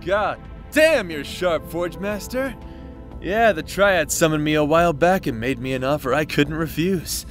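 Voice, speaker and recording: A man's voice: on the high side, measured pace, faint music behind, lightly acted. Man playing a cocky, taunting demon. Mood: gloating. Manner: casual, teasing, swaggering. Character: cocky, taunting male demon, amused schemer. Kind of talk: audio roleplay drama acting.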